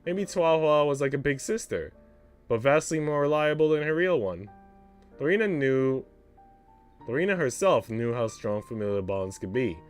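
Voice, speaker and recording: A man's voice: medium pitch, animated, normal speed, clean tone. A man reads a visual novel aloud for a stream, with a mid-range voice that moves expressively up and down. Music plays underneath his narration. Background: background music.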